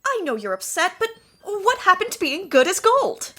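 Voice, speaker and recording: A woman's voice: very high-pitched, big pitch swings, normal speed, bright, forceful tone, full character voice. A woman playing a gushing, supportive colleague who soothes and encourages, in a comedic audio drama. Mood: placating.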